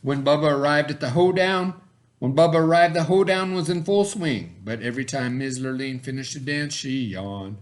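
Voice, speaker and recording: A man reads a children's storybook aloud. His voice sits a bit higher than most men's. The narration is expressive, shaped for young listeners. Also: moderate pace. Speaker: storybook reader.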